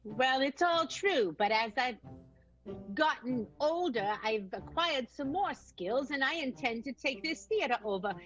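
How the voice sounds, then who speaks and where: Lady doing haughty older schemer, high swoopy slow voice, radio play, muffled lo-fi. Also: animated, a bit bright, faint music behind. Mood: smug, scheming. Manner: deliberate, knowing, theatrical. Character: scheming, haughty older female tightrope performer. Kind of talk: improvised radio play acting.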